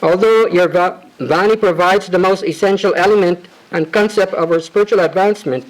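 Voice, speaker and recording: Man, high-voiced, formal devotional tribute reading, ornate and measured. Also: a little hiss or hum, low-quality recording. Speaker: disciple reading a homage.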